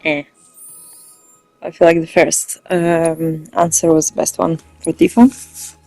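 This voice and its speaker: Mellow woman thinking aloud over gameplay. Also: low voice, little pitch movement, slow, vocal fry, no room sound, faint music behind. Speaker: gamer, let's play host. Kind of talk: casual gameplay commentary.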